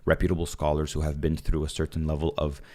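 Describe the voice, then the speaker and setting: A young man from Canada speaks as a podcast guest with an extremely low, deep voice. He talks quickly and animatedly, full of fillers and restarts, in a relaxed conversational style. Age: young adult. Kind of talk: casual conversation.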